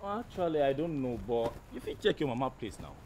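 A man's voice: medium pitch, very animated, fairly quick, music in the background, close to an everyday voice, low-quality recording. An actor playing a plain-spoken, insistent Nigerian man in a naturalistic film drama. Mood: surprised, familiar.

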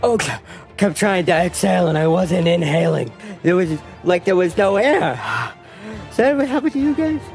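A man plays a dim, eager cartoon mall cop with a very high voice. He rambles and stammers like an overgrown kid, oblivious to everyone. Music plays behind him. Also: lively intonation, normal speed, darkish tone. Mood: dim, casual. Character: bumbling, oblivious, childish chubby male mall cop. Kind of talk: animated comedy voice acting.

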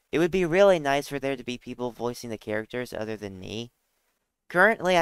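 A man's voice: mid-range voice, clear, resonant tone, dry recording. A young man giving an informal, plain-spoken scripted announcement to his viewers as a channel host. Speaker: amateur filmmaker and channel host.

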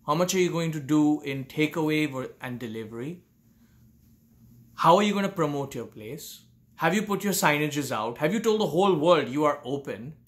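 A man's voice: on the high side, a bit bright. A man in his forties gives earnest business coaching straight to camera from a room at home, asking many rhetorical questions. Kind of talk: direct-to-camera advice talk. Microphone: camera facing him at close range.

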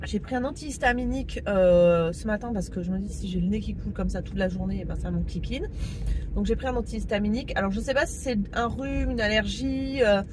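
A woman's voice: mid-range voice, fairly quick, background noise. A woman vlogging casually to camera, chatty and rambling with many fillers, stuffy with a cold. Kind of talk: casual vlog chat to camera.

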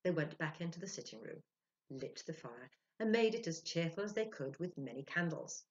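A woman in her sixties giving a theatrical, expressive dramatic reading of a story as a professional storyteller. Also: sits low, normal speed. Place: small indoor room. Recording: dull lo-fi webcam sound.

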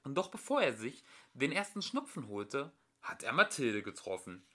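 A man reads a children's story aloud as an amateur narrator. His voice is bright and forward, and his pitch moves in a lively, expressive way through the sentences. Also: normal speed. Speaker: amateur audiobook reader.

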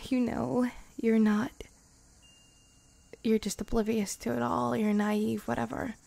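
A woman voicing a centuries-old vampire girlfriend, wistful and protective, in soft, intimate ASMR roleplay that drifts into whispers. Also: fairly high pitch, flat delivery, exaggerated voice. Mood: anxious, protective. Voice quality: soft, breathy whispery moments. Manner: slow, hesitant, sighing between phrases.

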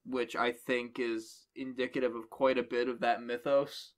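A man's voice: medium pitch, level tone, normal speed. A man co-hosting a podcast, giving analytical opinions in a casual group discussion. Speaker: podcast co-host. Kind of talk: casual panel discussion of fiction.

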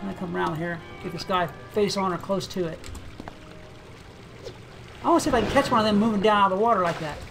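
A game video creator giving casual gameplay commentary, thinking aloud in a relaxed, chatty way. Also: mid-range voice, fast, creaky, pauses often. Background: game music.

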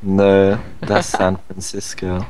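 A man's voice: low-pitched, monotone, slow pace, dark tone, smooth. A man in a casual group voice call, arguing back and pushing his own view, heard through a computer mic. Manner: argumentative, pushes back on others. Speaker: Skype call participant.

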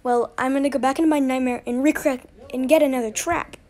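A woman with a fairly high voice does amateur voice-over for a homemade animation. She reads character lines as acted dialogue. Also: steady tone, fast, a little mellow.

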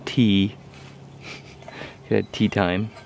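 Gravelly-voiced man joking through a bushcraft vlog. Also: fairly low pitch, flat delivery, drawn-out words, a little mellow, a little hiss or hum. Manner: jokey, dry humor, chuckles at himself. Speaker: bushcraft vlogger. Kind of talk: casual outdoor vlog narration. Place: outdoors in woodland camp. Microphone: camera mic outdoors.

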